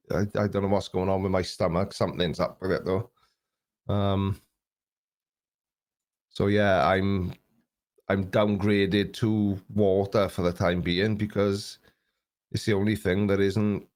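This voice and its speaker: A man's voice: low voice, a little mellow. A man in his late forties hosting a live stream, chatting loosely and joking at his own expense as he reads viewer comments, with an occasional cough. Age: 40s. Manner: chatty, jokey, self-deprecating, reads viewer comments aloud. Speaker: YouTube host. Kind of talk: live stream chat reading.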